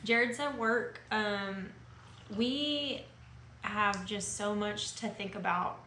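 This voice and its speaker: Parlak sesli, sohbet eden genç kadın. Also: female voice, mid-range voice, slow, pushed and bright. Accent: Texan. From Washington State, raised in North Texas. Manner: chatty, hedging with "like". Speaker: vlog co-host. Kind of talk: casual chat. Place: friend's house, indoors. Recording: roomy echo, faint room noise.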